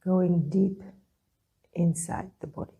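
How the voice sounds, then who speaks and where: A woman leading a guided meditation, speaking in a soothing, gentle way with long pauses between phrases. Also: on the low side, steady tone, slightly rough. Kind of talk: guided meditation, calm instruction.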